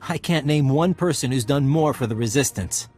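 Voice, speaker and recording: An actor voicing a plain-spoken resistance leader with dry humour in a video game. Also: male voice, mid-range voice, moderate pace, darkish tone, soft background music, natural voice. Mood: wry, grateful. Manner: plain-spoken, drily humorous. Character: wry, grateful resistance leader on an occupied world.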